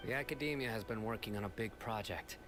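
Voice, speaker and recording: An actor playing a stern, guarded male investigator in a game, speaking in a terse, deliberate way. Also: fairly low pitch, music in the background, natural voice.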